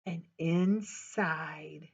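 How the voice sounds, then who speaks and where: An older woman who works as a children's librarian, reading a story aloud in an animated, sing-song way, recorded on a webcam in a small office. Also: sits low, drawn-out words, creaky, slight background noise. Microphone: webcam at desk. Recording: dull webcam audio.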